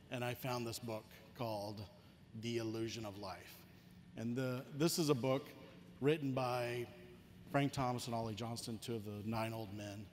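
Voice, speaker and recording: Man, 40s, mid pitch, earnest anecdote, hall reverb, faint noise. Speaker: panelist, story artist. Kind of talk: personal anecdote on a panel. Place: large convention stage, indoors.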